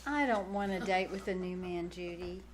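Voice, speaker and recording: A woman's voice: on the low side, normal speed, lightly acted. A woman playing a meek, lovelorn aunt in her thirties, plaintive and hesitant, in old-time radio comedy. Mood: reluctant, wistful. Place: live on a small theater stage.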